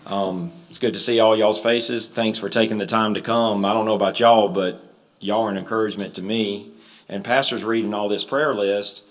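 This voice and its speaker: Deep man, a bit hoarse, halting folksy church prayer. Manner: folksy and halting, with many ums and uhs. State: slightly hoarse. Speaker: church member leading prayer. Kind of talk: spoken prayer and announcements. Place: church sanctuary.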